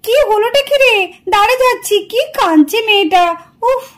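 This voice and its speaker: A woman's voice: very high voice, lively intonation, moderate pace. A woman voicing a panicky young village aunt who stammers and shrieks in fear of ghosts. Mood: alarmed.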